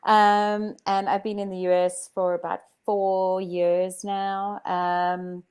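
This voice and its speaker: A woman's voice: mid-range voice, little pitch movement, takes it slowly, darkish tone, clear, resonant tone. A woman with a South African accent, a chiropractor guest explaining her work in a matter-of-fact way. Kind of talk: interview conversation.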